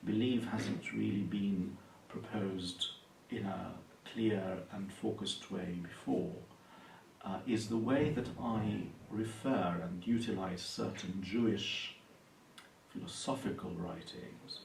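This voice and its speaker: A man from Palestine speaks in a low, gravelly voice, measured and thoughtful, often pausing on fillers. He is recorded in an echoey room from some distance, with faint hiss underneath. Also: a little mellow. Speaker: university lecturer and Anglican priest. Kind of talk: interview answers.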